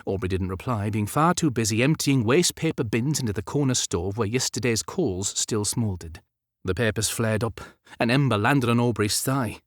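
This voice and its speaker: A man's voice: lowish voice, normal speed, few pauses, no room sound, clean studio sound. A man narrating a period romance audiobook as scripted fiction.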